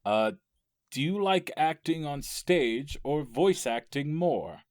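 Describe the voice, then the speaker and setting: A man's voice: on the high side, animated, slow, darkish tone, no echo, studio quality. A man in casual group banter with a teasing, wry way of talking. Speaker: guest castmate.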